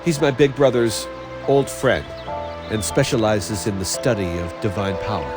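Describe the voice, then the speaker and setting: A man playing a gruff, brooding young senior who secretly cares, blunt and intense, in a fantasy game. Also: on the low side, fairly even intonation, moderate pace, loud music behind the voice, natural voice. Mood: determined. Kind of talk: dubbed fantasy game cutscene acting.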